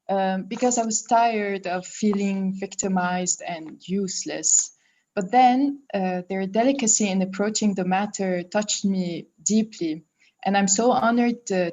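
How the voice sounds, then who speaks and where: A woman's voice: medium pitch, darkish tone. A woman in her twenties from Lebanon giving an earnest, prepared personal testimony as a student. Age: 20s. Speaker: student speaker. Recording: dull lo-fi sound.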